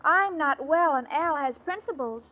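A sweet, dim-witted young American woman with an extremely high, childlike voice. She is plaintive and self-pitying, gullible in every line. It sounds like a muffled 1940s radio comedy. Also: lively intonation, normal speed. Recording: muffled old radio recording.

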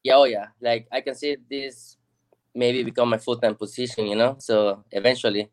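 A man's voice: mid-range voice, little pitch movement, normal speed. A man from Peru as a guest on a video-call interview, earnest and modest, with many casual fillers.

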